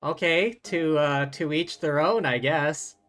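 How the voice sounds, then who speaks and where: A woman's voice: low voice, measured pace. A woman live streamer reading game dialogue aloud and adding casual commentary as she plays.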